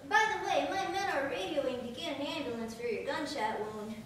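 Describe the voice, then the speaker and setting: A woman playing a matter-of-fact undercover 1940s government agent who calmly takes charge. The voice sits very high and is heard from far off in an echoing room. A faint rumble runs behind the live radio-play performance. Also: normal speed. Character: undercover male Secret Service agent, mid-thirties, calm. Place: large historic mansion room with a live audience.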